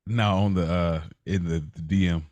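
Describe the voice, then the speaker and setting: A man's voice: low-pitched, steady tone, moderate pace, darkish tone, no echo. An American man co-hosting a podcast in casual, chatty talk. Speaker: podcast co-host and live producer.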